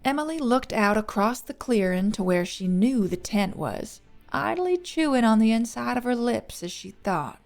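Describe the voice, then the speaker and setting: A woman's voice: on the high side, big pitch swings, moderate pace, creaky, few pauses, soft background music. A woman narrating a scripted fantasy story as an audiobook reader.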